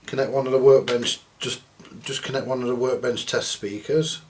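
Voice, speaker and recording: A man giving casual, hands-on workshop commentary as host of a repair video, chatty and halting as he thinks aloud. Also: medium pitch, steady tone, a little mellow, a little hiss or hum.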